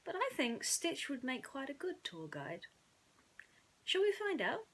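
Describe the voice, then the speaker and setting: A woman's voice: high voice, huge pitch swings, unhurried, a bit bright, slight background noise. A woman reading stories to children in a playful, theatrical way, with chatty asides. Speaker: children's bedtime story reader. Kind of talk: animated storytelling for children.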